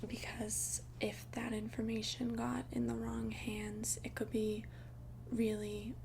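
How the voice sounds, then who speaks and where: A woman whispers an ASMR roleplay as a shy, courteous vampire. Her delivery is breathy, intimate and very slow, with gentle hesitations. A noticeable crackle of vocal fry runs through it, and there is clear noise in the recording. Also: a little high, monotone. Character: shy, polite thousand-year-old female vampire asking someone out.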